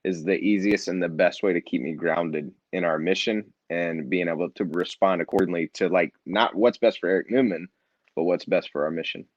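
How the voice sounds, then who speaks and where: A man's voice: low-pitched, steady tone, moderate pace, dry recording, muffled recording. A man being interviewed about his nonprofit and his book, talking in an earnest, reflective way with frequent restarts. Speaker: nonprofit founder and author, guest. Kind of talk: conversational interview.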